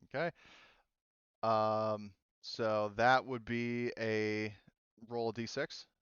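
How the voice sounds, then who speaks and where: A man running a casual tabletop game as game master, explaining rules and narrating in an informal, halting way with frequent restarts. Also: on the low side, flat delivery, a bit bright, clear, resonant tone, no room sound, dull audio.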